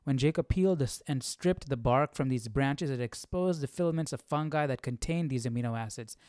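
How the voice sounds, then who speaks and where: A man hosting a casual live Bible study, reading aloud and thinking out loud in an informal, slangy way. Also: mid-range voice, fairly quick, no echo, clean studio sound.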